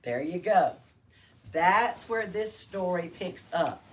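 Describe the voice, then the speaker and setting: A woman reads a story to children in an expressive, slightly low voice that swings widely in pitch. She prompts and explains like a teacher. Faint room noise sits behind her. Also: takes it slowly. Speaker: library story-time reader. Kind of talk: read-aloud to children with questions.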